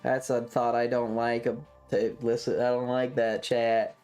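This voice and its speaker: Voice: medium pitch, little pitch movement, measured pace, darkish tone, clean tone, faint music behind. A young adult streamer chatting casually to viewers in a hesitant, rambling way that often repeats phrases. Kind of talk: casual livestream chat.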